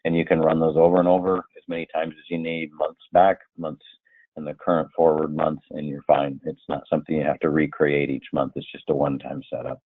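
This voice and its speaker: Very deep-voiced man on a phone-like line. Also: little pitch movement, a little fast, husky, dry recording. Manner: explanatory, illustrates with hypothetical quotes. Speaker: software trainer presenting a webinar. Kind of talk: conversational slide-by-slide software walkthrough. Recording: muffled, tinny phone-like line.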